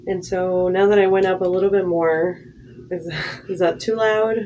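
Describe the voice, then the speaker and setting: A woman's voice: lowish voice, fairly even intonation, normal speed. Reassuring woman, heard from a distance. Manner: gentle, reassuring, asks short questions. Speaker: audiologist. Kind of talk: clinical guidance. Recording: distant, dull mic with faint noise.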